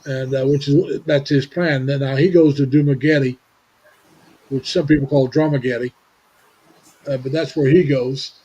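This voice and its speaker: Older male livestream host in his 70s, husky mellow voice, halting delivery, dull lo-fi call sound. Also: mid-range voice, fairly even intonation. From Indiana, USA. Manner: rambling, halting with many restarts. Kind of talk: casual livestream chat. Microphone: video call.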